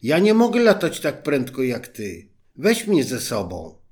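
A man's voice: medium pitch, big pitch swings, takes it slowly, darkish tone. A man voicing a persistent female talking frog in a fairy tale, coaxing and pleading.

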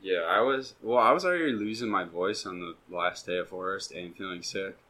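A young man on a casual podcast, talking in a relaxed, meandering way with a touch of self-deprecation. His voice sits a little low and easy. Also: fairly quick. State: recovering from being sick. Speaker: podcast co-host. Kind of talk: casual chat.

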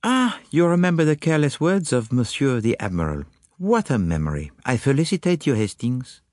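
A man's voice: mid-range voice, sing-song, a little fast, darkish tone, no echo, studio quality, voice pushed up, close to an everyday voice. A man voicing a courtly, confident Belgian detective with a French-speaking accent and formal, precise phrasing, in an audiobook story. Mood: pleased. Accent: French-speaking Belgian, put on.

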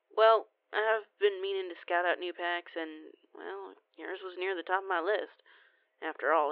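A woman's voice: fairly low pitch, no echo. A woman playing a cheeky, rambling young female werewolf cousin in an audio roleplay phone call, sheepish, folksy and a bit foul-mouthed. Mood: sheepish, chatty. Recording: tinny band-limited phone line, very dry.

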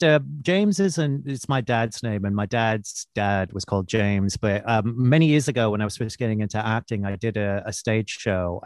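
Deep-voiced Canadian man chatting. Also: no echo, studio quality. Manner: chatty, laughs often, many fillers and restarts. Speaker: guest. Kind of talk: casual chat.

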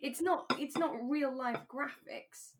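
A British woman co-hosting a podcast in relaxed, casual chat, chatty and self-deprecating. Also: high voice, slow. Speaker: podcast co-host. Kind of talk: relaxed casual chat about a radio drama.

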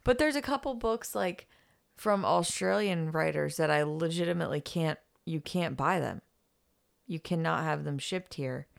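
A woman co-hosting a podcast in casual chat, with a gravelly voice and a drowsy, yawning manner. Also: deep voice, normal speed, no echo, studio quality. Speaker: podcast co-host. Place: United States.

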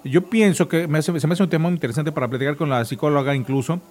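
A man's voice: a little high, rapid-fire, darkish tone. A man with a Mexican accent hosting a casual, nostalgic radio chat. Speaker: radio show host. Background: background music.

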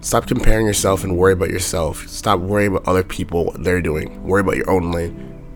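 Hoarse young guy w a really deep raspy monotone voice, casual motivational talk, some faint music behind. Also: quick pace. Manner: plain-spoken, repeats his point over and over. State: hoarse from a sore throat.

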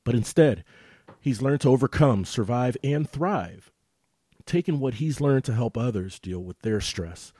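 A man's voice: mid-range voice, expressive, unhurried, dark tone, no echo, clean studio sound. A man hosting a podcast, opening the show and welcoming his guest with emphatic delivery. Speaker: podcast host.

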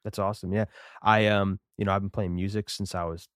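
Man, 30s, podcast co-host, low smooth voice, casual rambling delivery. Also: flat delivery, brisk, no room sound, studio quality. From Connecticut, USA. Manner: laid-back, rambling, lots of fillers. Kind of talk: casual chat.

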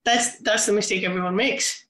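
A man's voice: high voice, steady tone, moderate pace, bright, forceful tone, reverberant. A man co-hosting a film podcast, rambling through casual chat with lots of filler words. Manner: rambling, many "like" fillers, laughs often. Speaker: podcast co-host.

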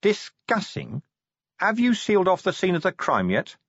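A male narrator plays a middle-aged police commander in an audiobook. He is gruff and combative, challenging people bluntly with a sardonic edge. His pitch rises and leaps about a great deal. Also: big pitch swings, normal speed, fairly bright tone, no echo, lo-fi sound, heavily acted. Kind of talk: audiobook character acting.

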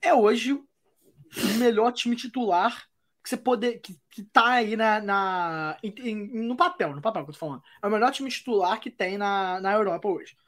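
A woman's voice: mid-range voice, normal speed. A Brazilian woman hosting a podcast, chatting about football in a loose, wry way with many restarts. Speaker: podcast host. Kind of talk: casual football chat.

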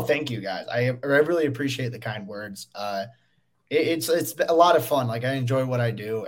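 A tired young man in his twenties, a guest analyst on a podcast, slightly hoarse and talking casually with many fillers. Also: mid-range voice. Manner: rambling, lots of fillers.

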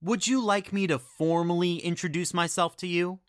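A woman playing an excitable, shrunken shapeshifter character in an audio drama. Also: lowish voice, normal speed, no echo, studio quality, close to an everyday voice. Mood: chatty.

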